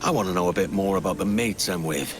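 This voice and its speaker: An actor playing a roguish, easygoing young pirate captain in a video game, with colloquial, self-assured sailor talk. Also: male voice, deep voice, fast talker, close to an everyday voice. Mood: probing, confident. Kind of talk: video game cutscene acting.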